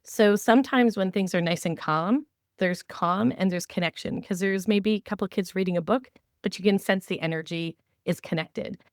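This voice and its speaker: A woman hosting a podcast, talking conversationally and explaining with animated examples. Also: mid-range voice, moderate pace, no echo, clean studio sound. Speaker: podcast host. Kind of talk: conversational training discussion.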